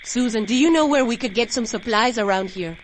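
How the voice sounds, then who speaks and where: A woman playing a gentle, protective mother in an audio drama. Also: on the high side, expressive, a little fast, slight background noise, lightly acted. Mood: reassuring. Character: gentle, protective Southern mother, quietly uneasy.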